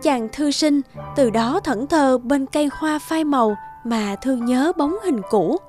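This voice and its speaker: A woman's voice: sits high, a little slow, a little mellow, soft background music. A woman giving scripted storybook narration for a cartoon fairy tale.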